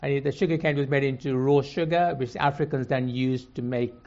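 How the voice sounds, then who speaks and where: Older man, grew up in Kenya speaking Swahili and Gujarati, relaxed storytelling guest, slightly dull lo-fi sound. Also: medium pitch, a little fast. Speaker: guest, cancer surgeon. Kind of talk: conversational storytelling. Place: before a live audience in a hall.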